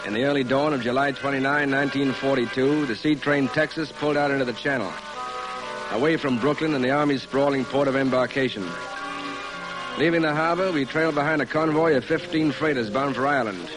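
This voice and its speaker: A man's voice: medium pitch, a little fast, over music, lightly acted. A man playing a seasoned merchant ship captain in a classic radio drama, dry and plainspoken, on an old noisy recording. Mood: measured narration.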